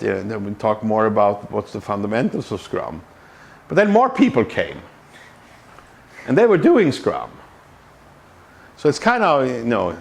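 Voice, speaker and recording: A man's voice: medium pitch, creaky. A man from Sweden, a Scrum trainer giving a conversational talk to a meetup group, with many fillers and restarts. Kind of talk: conference talk. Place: meetup room.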